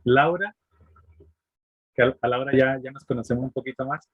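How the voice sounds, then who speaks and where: A man leads a group video call, thanking each speaker and handing over to the next with short, friendly prompts. His voice is husky and dry, with no room echo. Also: medium pitch, moderate pace, narrow, tinny audio. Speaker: group facilitator.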